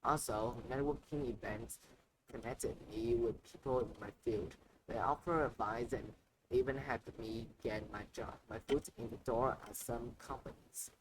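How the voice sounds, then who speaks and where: Maria, an upbeat woman guest in the tech world, read out by a man, earnest and a little raised in delivery. The voice sits at an ordinary middle pitch. A noisy ambient hiss runs underneath. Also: fairly even intonation, measured pace, lightly acted.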